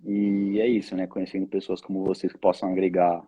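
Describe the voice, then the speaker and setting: A man, chatting as an interview guest in a halting way, often checking for agreement. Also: low-pitched, flat delivery, warm tone, husky. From born in Argentina, raised in Brazil from age two. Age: 20s. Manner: halting, seeks agreement with tag questions. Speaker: guest, family air-curtain business representative. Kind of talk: casual interview talk. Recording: dull, lo-fi online call audio.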